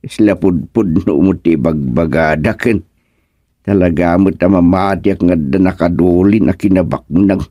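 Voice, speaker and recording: A man's voice: low voice, normal speed, warm tone, no room sound. A man playing a grumbling, self-important old husband in an Ilocano radio comedy, muttering to himself with frequent exclamations. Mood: musing.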